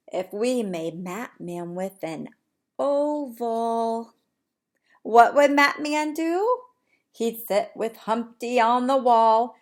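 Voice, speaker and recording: A woman preschool teacher reading a picture book aloud to young children in an expressive, sing-song way, often asking them questions. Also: on the high side.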